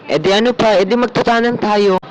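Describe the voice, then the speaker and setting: A thrifty young Filipino husband played by an actor in a radio drama, with a fairly high voice. He reasons politely but stubbornly. A little noise sits behind him. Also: fairly even intonation, a little fast, highs cut off, lightly acted. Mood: exasperated reasoning. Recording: faint background noise.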